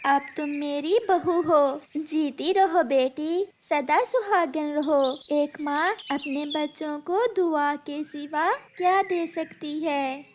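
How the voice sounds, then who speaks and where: A woman's voice: high voice, takes it slowly, hardly any pauses, slight background noise. A woman voicing a tender, self-sacrificing mother bird devoted to her son in a cartoon story. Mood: forgiving. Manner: tender, forgiving, blessing.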